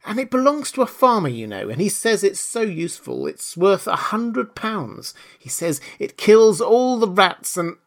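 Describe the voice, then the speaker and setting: A man's voice: fairly high pitch, very animated, moderate pace, gravelly, voice pushed up, full character voice. A man voicing a polite, chatty little girl in a storybook, earnest, eager and a bit dreamy. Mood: eager chatter. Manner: eager, apologetic, musing. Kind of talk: storybook character voice.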